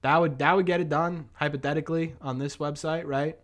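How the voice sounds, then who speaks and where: A hoarse young man with a New England accent, hosting a casual live-stream sports chat, rambling and thinking aloud as he answers viewers. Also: fairly high pitch, steady tone, a little fast, fairly bright tone. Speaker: sports podcast host.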